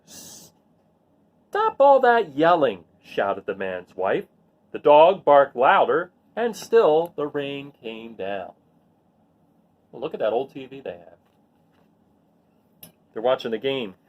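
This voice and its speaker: A man's voice: medium pitch, slow pace, pauses often, dull audio. A man reading a picture book aloud expressively, with casual asides. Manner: expressive, acts out the lines. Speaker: read-aloud storyteller.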